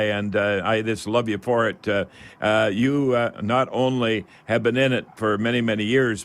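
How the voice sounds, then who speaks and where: A man's voice: mid-range voice, fairly bright tone. A man hosting a live radio call-in show, chatting in a folksy way and often addressing the listeners directly.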